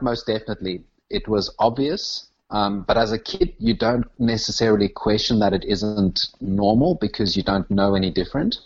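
A man with a South African accent giving reflective, conversational interview answers in a thoughtful, halting way that rephrases as it goes. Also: on the low side, breathy edge, lo-fi sound. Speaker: interview guest, touring comedian.

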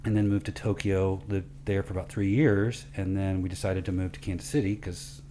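A man's voice: low-pitched, little pitch movement, brisk, noisy background. A man hosting a podcast, chatting casually and informally as he interviews a guest.

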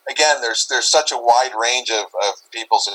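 A man's voice: mid-range voice, normal speed, bright, forceful tone, poor audio. A man talking casually in an interview, rambling and circling back over his phrases as he explains. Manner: rambling, repeats phrases, often says "you know". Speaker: interview guest, paranormal investigator.

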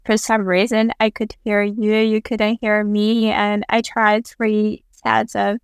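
A woman's voice: mid-range voice, monotone, takes it slowly, smooth, no room sound, studio quality. A young woman from Central Asia, speaking English as a third language, chatting casually as an interview guest. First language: not English, which is a third language. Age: young adult. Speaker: podcast guest.

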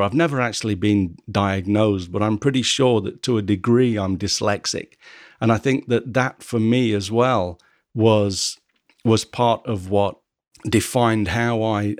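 A man from England, hosting a podcast in casual conversation, who often pauses and restarts as he searches for words. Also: on the low side, dry recording, studio quality. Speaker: podcast host.

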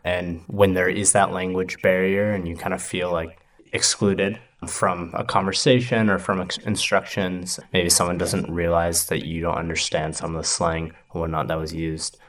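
Young man, from the United States, low voice, laid-back, hesitant casual chat. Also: flat delivery, moderate pace, fairly bright tone. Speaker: guest.

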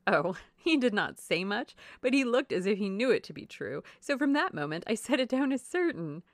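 A woman playing a silly, chatty young married gentlewoman in a classic novel reading, effusive and exclamatory. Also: mid-range voice, very animated, normal speed, no room sound, studio quality. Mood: gushing, delighted. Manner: effusive, exclamatory, prone to giggling. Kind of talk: classic novel audiobook dialogue.

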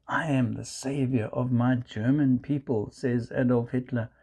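A man with a South African accent, preaching a measured sermon and quoting scripture. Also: medium pitch, fairly even intonation, dark tone, breathy edge. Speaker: pastor.

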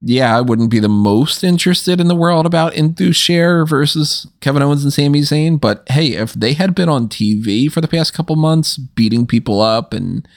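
A man co-hosting a casual podcast chat, talking with lively swoops up in pitch. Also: normal speed, few pauses, dry recording, clean studio sound. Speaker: podcast co-host.

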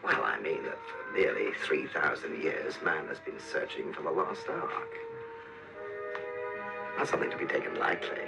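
A man's voice: very deep voice, expressive, moderate pace, gravelly, heavily acted. An actor playing a grave, cautious museum man in film dialogue. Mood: grave warning. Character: grave, cautious male museum colleague of adventurer. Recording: film music behind dialogue.

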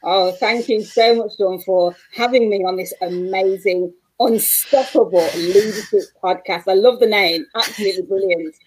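A woman from England with a Mancunian accent, a guest coach on a remote-call podcast interview, warm and encouraging. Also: mid-range voice, normal speed, few pauses, slightly rough, rough recording. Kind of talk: casual interview chat.

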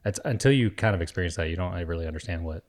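A man hosting a podcast, an animated storyteller who laughs loudly in casual conversation. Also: sits low, racing. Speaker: podcast host.

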